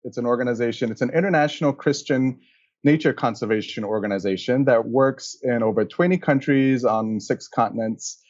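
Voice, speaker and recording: A man in his thirties from Singapore, answering interview questions in a calm, measured way. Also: medium pitch, no room sound, muffled recording. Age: 30s. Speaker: guest, conservation director.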